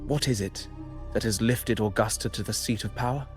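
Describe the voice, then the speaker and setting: An actor playing a calm, enigmatic wandering poet in a video game, speaking in a measured, lyrical and formal way with deliberate pauses. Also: male voice, lowish voice, soft background music, lightly acted. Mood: calm, cryptic. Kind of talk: dramatic video game dialogue, poetic narration.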